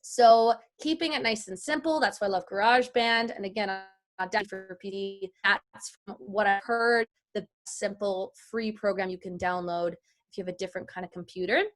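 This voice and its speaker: A woman giving a chatty, encouraging, informal lesson as a voice actor teaching an online class over a video call from a home office. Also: fairly high pitch. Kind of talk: casual instructional talk. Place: at home, large office with wooden walls. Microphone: computer video call.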